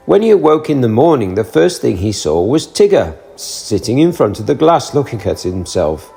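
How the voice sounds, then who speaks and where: A man reading a children's storybook aloud, narrating in an expressive, animated way. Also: medium pitch, moderate pace, creaky, soft background music.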